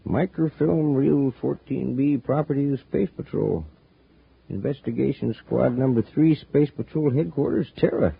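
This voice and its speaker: An actor playing a folksy, friendly homesteader with a gravelly, husky voice in a 1950s radio serial. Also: male voice, mid-range voice, normal speed, exaggerated voice. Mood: curious, excited. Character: folksy, friendly rustic Martian homesteader, lonely and helpful. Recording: muffled, hissy 1950s radio recording.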